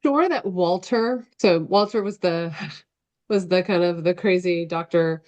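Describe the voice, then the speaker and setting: Female author guest, middle-aged, slightly low voice, casual self-correcting conversational delivery over video call. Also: unhurried, a little mellow, muffled recording. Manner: informal, loosely structured, self-correcting. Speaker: interview guest, novelist. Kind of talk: casual interview answer. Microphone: video call microphone.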